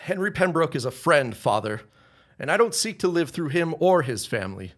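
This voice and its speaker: 男性が演じる反抗的で辛辣な息子. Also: male voice, fairly high pitch, big pitch swings. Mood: firm, defiant. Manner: formal, measured, cutting. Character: defiant, contemptuous grown son of a rich reverend. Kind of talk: period audio drama acting.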